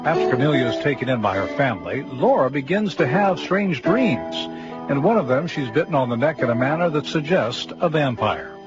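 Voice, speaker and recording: A man's voice: mid-range voice, lively intonation, a little fast. A man presenting a radio segment in a scripted, explanatory narration style. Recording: dull radio sound. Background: loud plucked string music.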